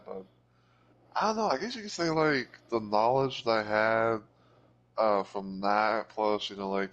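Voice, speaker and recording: A man co-hosting a podcast, talking casually in a hesitant, halting way with fragmented phrasing. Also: lowish voice. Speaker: podcast co-host. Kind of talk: casual chat, reminiscing. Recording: slightly dull, lo-fi microphone.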